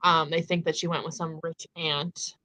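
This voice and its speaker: A woman's voice: sits low, little pitch movement, moderate pace, pushed and bright, dry recording. A woman hosting a casual book discussion, retelling in an emphatic, conversational way peppered with filler words.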